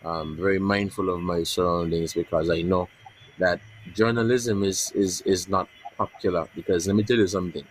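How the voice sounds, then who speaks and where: A man, a journalist answering interview questions in a measured way, often repeating words as he searches for them. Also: deep voice, fairly even intonation, a little hiss or hum, rough recording. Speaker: journalist guest.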